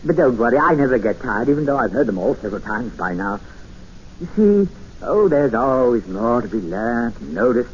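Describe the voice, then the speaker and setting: An actor playing a gentle, eerie older recluse obsessed with books, hesitant and politely insistent, in a 1950s radio drama. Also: male voice, mid-range voice, big pitch swings, moderate pace, natural voice. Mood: obsessive, fond. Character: gentle, eerie jungle recluse obsessed with Dickens, quietly menacing. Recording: muffled, hissy 1950s radio recording.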